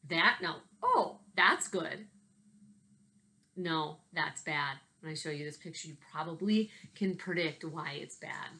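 A woman in a slightly low voice. She is chatty and expressive, addressing young children as their teacher would, in an informal home video with read-aloud storytelling. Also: unhurried. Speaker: elementary school teacher. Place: small bedroom at home, indoors. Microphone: laptop webcam at desk distance.

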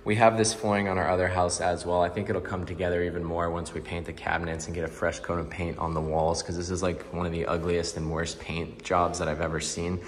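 A man's voice: deep voice, flat delivery, fairly quick, few pauses. A young man chatting casually to his viewers as he walks through an empty condo under renovation, filming himself on a handheld phone. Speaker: real estate investor vlogger. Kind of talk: casual walkthrough vlog. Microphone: phone camera held at arm's length.

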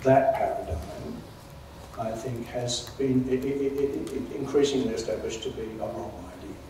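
Mellow-voiced older academic man, echoey hall. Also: medium pitch, fairly even intonation, normal speed, distant mic, slight background noise. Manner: precise, lecturing, with stumbles. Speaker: keynote speaker. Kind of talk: academic explanation. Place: lecture hall, indoors, standing.

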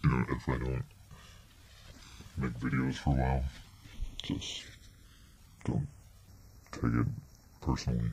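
A man in his twenties rambling casually through a vlog update, halting and often trailing off, recorded on a webcam at a desk. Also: extremely low pitch, little pitch movement, creaky, a little mellow. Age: 20s. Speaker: vlogger. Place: small bedroom indoors. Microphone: webcam at desk distance. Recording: noisy webcam audio.

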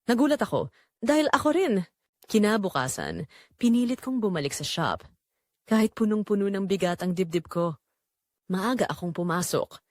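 A woman's voice: mid-range voice, no room sound, clean studio sound, close to an everyday voice. A woman playing a guilt-ridden young woman who confesses a forbidden love in an emotive, dramatic audio drama narration.

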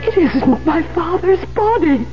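Muffled radio play, distraught lady, high pitch, slow, dramatic. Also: lively intonation, over music. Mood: distressed. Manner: dramatic, halting. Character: horrified, distraught young lady. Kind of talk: 1970s radio drama acting. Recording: muffled old radio, faint hum.

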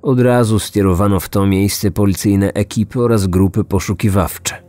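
A man's voice: on the low side, fairly even intonation, moderate pace, mellow. A man reading scripted true-crime narration as a podcast host. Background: music.

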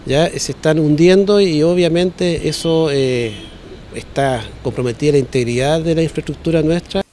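A man's voice: fairly high pitch, moderate pace, warm tone, a little hiss or hum. A man, a fire chief speaking formally and matter-of-factly outside a fire station into handheld microphones. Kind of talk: street interview. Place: outdoors in front of a fire station. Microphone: handheld reporter microphones.

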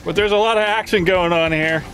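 A man's voice: high-pitched, brisk, a bit bright. A middle-aged man hosting a fishing show from a small boat on a lake, easygoing and asking the guide curious questions. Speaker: host. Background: background music.

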